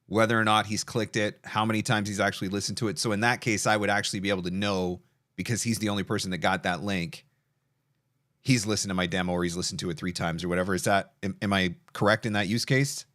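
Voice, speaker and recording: A man with a notably deep voice hosts an online session. He talks conversationally, like a voice actor guiding a guest, and the sound is dry with no room echo. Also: fairly even intonation, quick pace, fairly bright tone. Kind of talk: conversational webinar hosting.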